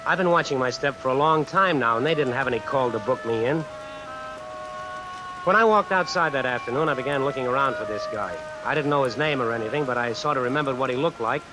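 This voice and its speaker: An actor playing a brash, suspicious American drifter fresh out of jail, slangy and sardonic, in old-time radio suspense style. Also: male voice, medium pitch, quick pace, music in the background, close to an everyday voice, low-quality recording. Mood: wry, brooding. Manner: slangy, hard-boiled, sardonic. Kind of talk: old-time radio suspense acting with first-person narration. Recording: vintage radio recording with hiss and rain. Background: steady rain sounds added over the broadcast.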